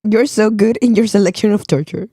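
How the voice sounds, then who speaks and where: A woman chatting casually as co-host, laughing often and protesting playfully, her voice going hoarse. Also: mid-range voice, brisk, warm tone, dry recording, studio quality. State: losing her voice. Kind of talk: casual chat.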